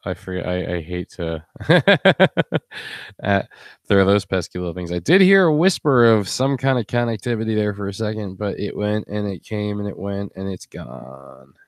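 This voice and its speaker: A man's voice: fairly low pitch, lively intonation, moderate pace, no room sound, clean studio sound. A man hosting a live stream in a casual, rambling, joking way. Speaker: host.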